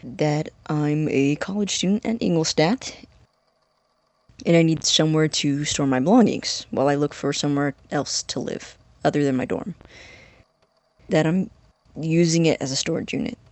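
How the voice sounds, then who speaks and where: Actor doing young secretive science student, eager n earnest, kinda halting, audio drama, dry sound. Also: medium pitch, darkish tone, dry recording, close to an everyday voice.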